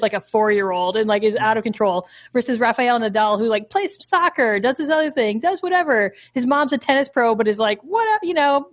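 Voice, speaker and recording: A young woman hosting a casual book podcast, her voice sitting a little high. She talks in a loose, chatty way, scattering fillers through her sentences. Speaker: podcast host.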